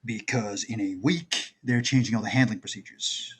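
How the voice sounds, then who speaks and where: A man playing a brisk, confident heist planner in a screenplay table read, matter-of-fact and decisive. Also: fairly low pitch, fairly bright tone, a little hiss or hum, lightly acted. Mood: businesslike.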